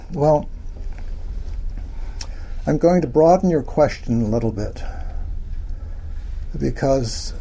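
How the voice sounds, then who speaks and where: A soft-spoken man giving a talk about his book at a bookstore, slow and hesitant with many ums. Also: mid-range voice, expressive, slightly rough, rough recording. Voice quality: notoriously soft voice. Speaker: author speaking at a bookstore event.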